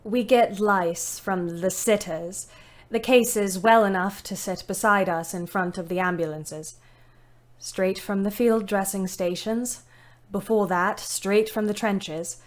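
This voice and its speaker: An actress voices a young woman narrating her wartime diary in an audio drama. She takes her time, measured and reflective. Her humour is dry and turned on herself. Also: medium pitch, steady tone, close to an everyday voice. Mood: wry, matter-of-fact. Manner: measured, reflective, dryly ironic. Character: young British woman ambulance driver, wry, self-deprecating diarist.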